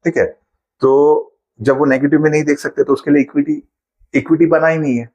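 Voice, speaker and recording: Male guest, husky tone, calm teacherly explainer. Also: a little high, brisk. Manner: patient, explains with everyday examples. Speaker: financial planner guest. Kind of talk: explanatory interview talk.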